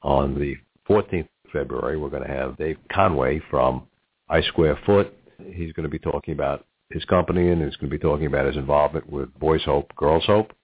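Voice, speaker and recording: Very deep, husky New York man. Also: steady tone, brisk. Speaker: radio talk show host. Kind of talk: business interview and announcements. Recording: muffled narrow phone-like audio.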